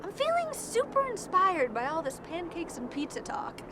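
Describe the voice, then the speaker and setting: A woman's voice: very high voice, very animated, moderate pace. A woman acting as an upbeat, quirky young woman in a naturalistic, conversational comedy, a little hesitant and self-deprecating. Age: young adult. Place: outdoors in a park. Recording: noisy outdoor ambience, traffic hum.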